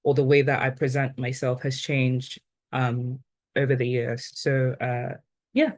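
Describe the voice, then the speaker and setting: A woman in her twenties from Ghana, with an accent that blends Ghanaian and British, reflecting thoughtfully as an interview guest and often pausing on "like" and "um". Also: extremely low pitch, little pitch movement. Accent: blend of Ghanaian and British, now neutralized. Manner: thoughtful, with many "like" and "um" fillers.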